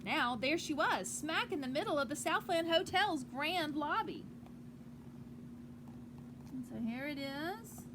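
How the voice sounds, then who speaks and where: A woman teacher reading a picture book aloud to children outdoors on a porch, with gentle, encouraging asides. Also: sits high, lively intonation, unhurried, pauses often. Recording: clearly noisy outdoor ambience.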